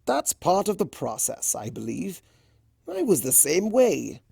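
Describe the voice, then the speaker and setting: A performer voicing a male chaos creature, a smug and theatrical trickster who teases in drawn-out phrases, for a story reading. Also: medium pitch, big pitch swings, creaky, warm tone, slightly rough, no echo, clean studio sound, voice pushed up, exaggerated voice. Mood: playful, smug. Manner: drawn-out, theatrical, teasing delivery.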